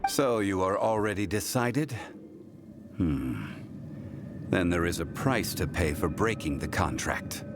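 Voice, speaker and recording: An actor playing a composed, learned man who holds strictly to contracts, speaking in a calm, formal, measured way. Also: low voice, exaggerated voice. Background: game music.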